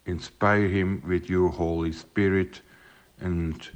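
Swiss guy in his 50s, real deep voice, slow and friendly. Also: fairly even intonation. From Switzerland. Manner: friendly, unhurried. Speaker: guest sending a video greeting. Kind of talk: greeting and prayer.

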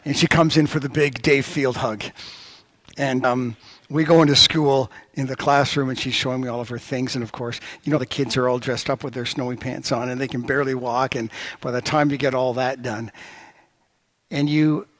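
A middle-aged man preaching a sermon as pastor, telling stories with lively swings of voice. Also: moderate pace, dry recording. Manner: storytelling with lively pitch swings.

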